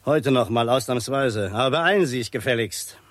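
A man's voice: medium pitch, normal speed, dry recording, natural voice. A man playing a curt, bossy, impatient checkpoint guard in a 1960s German radio play. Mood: officious, impatient.

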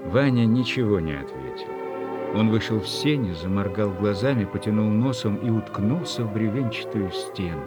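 A man narrating a literary story from a script in a measured, expressive way. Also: on the low side, loud music behind the voice.

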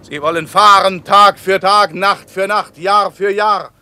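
A man's voice: high-pitched, fairly even intonation, a little slow, pushed and bright, no echo, heavily acted. A man acting a self-important traffic policeman in a radio play, with an emphatic, declamatory manner. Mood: commanding, exalted. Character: self-important male traffic policeman, commanding, growing bewildered. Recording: clear street traffic noise.

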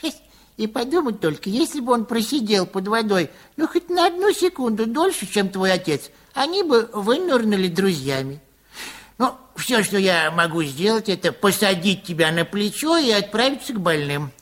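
An actor playing an ageing, grumbling but kind-hearted apothecary in a radio fairy-tale play, fretful and lamenting. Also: male voice, high voice, sing-song, normal speed, fairly bright tone. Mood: lamenting, relenting.

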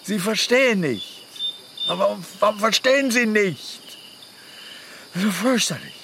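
A woman's voice: mid-range voice, expressive, measured pace, creaky, background noise. An actress playing a distraught, pleading woman in a radio drama, urgently repeating and drawing out her words. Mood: desperate pleading.

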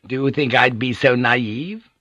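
Male narrator as shrewd Japanese businesswoman, audiobook: raised pitch, bright, deferential, insistent. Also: a little slow, no room sound. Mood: bitter, urgent. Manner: flattering, insistent, humbly courteous.

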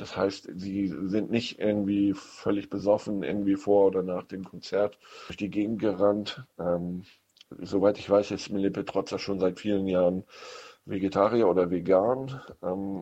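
A man reviewing films on the radio in an unscripted, halting way, searching for words. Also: lowish voice, a little mellow, husky, lo-fi sound. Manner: halting, hesitant delivery with searching pauses. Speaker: film critic on a radio show. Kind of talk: unscripted radio film recommendations.

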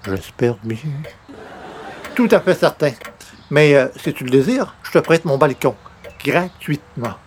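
An actor playing a skeptical, unflappable everyman in a comic radio play, dry, understated and gently sarcastic. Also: a little high, lively intonation, unhurried, a little hiss or hum. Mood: wry refusal. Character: skeptical, unflappable everyman, dryly humoring a schemer. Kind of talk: comic radio play acting in old-time sitcom format.

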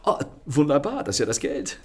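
An actor playing a charming, ironic gentleman thief in a radio play, speaking with smooth, courteous mockery. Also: fairly high pitch, huge pitch swings, fairly quick, full character voice. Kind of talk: radio drama acting. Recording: studio radio play with faint music.

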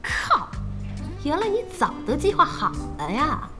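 Feisty, high-pitched young woman boss. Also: measured pace, over music, exaggerated voice. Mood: relieved teasing. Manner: blunt, expressive, exclamatory. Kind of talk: audio drama acting.

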